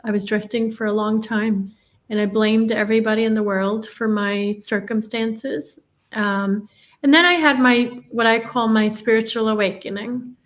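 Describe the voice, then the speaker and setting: A woman's voice: on the high side, fairly even intonation, moderate pace. A woman from Ireland, telling her life story in an earnest, reflective way as an interview guest. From Northern Ireland.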